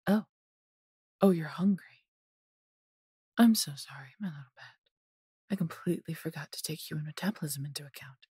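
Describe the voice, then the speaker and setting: A woman voicing a possessive, haughty yet doting female dragon in an intimate audio roleplay, condescending and theatrical, breathy and husky, often dropping to a whisper. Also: low voice, normal speed, pauses often, mellow, no room sound, studio quality. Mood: apologetic, doting. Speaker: voice actor.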